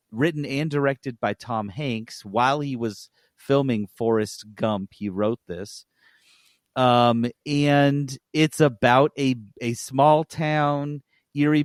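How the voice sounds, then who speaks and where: A man's voice: medium pitch, no echo. Man chatting casually in very dry sound. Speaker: podcast co-host. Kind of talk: casual review-style podcast chat.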